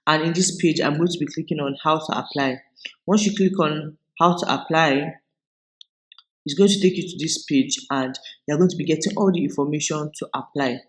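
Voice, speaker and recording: A man from Nigeria with a Nigerian English accent, hosting a tutorial video and explaining each step in a relaxed way, with frequent restarts. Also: fairly high pitch, moderate pace, rough recording. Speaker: video host. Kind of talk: screen-recorded tutorial walkthrough.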